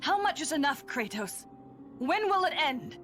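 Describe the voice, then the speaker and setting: A weary, reproachful wife. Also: female voice, high voice, big pitch swings, unhurried, fairly bright tone, faint music behind, heavily acted. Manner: reproachful, pleading.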